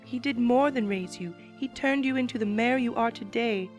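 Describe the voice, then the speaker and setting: A woman voicing a solemn female pony princess who speaks in a grave, measured, tearful way, in a dramatic reading. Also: on the high side, soft background music, close to an everyday voice. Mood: sorrowful.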